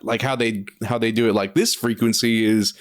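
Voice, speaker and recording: A man hosting a podcast, asking curious, halting questions in a relaxed chat. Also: on the low side. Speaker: podcast host. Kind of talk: casual podcast interview.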